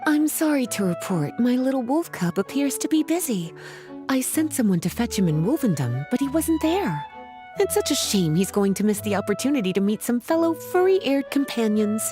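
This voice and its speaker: A woman's voice: fairly high pitch, very animated, normal speed, music in the background. A woman voicing an elegant, teasing grown-up sorceress in a video game, coy, honeyed and playful. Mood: playfully regretful. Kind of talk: English video game voice acting.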